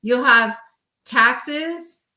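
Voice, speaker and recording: A woman's voice: sits high, extremely slow, sharp tone. A woman guest expert explaining things informally and emphatically, talkative, in a roomy-sounding recording. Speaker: mortgage lender, guest expert.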